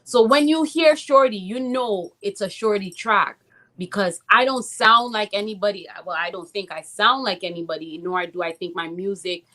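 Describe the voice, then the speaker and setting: A woman's voice: mid-range voice, moderate pace, pushed and bright. A woman recording artist from Trinidad and Toronto, talking about her music in a casual interview, thoughtful and full of "um" and "you know". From born in Trinidad, raised in Toronto. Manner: reflective, with frequent "um" and "you know".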